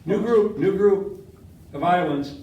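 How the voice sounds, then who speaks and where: A man's voice: high-pitched, slow pace, lo-fi sound. Older Latvian-born man lecturing in echoey room. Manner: animated, quizzes students, gestures widely. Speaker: history professor. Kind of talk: classroom lecture with questions. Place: seminar room with whiteboard. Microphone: far camera. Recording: echoey classroom from far off.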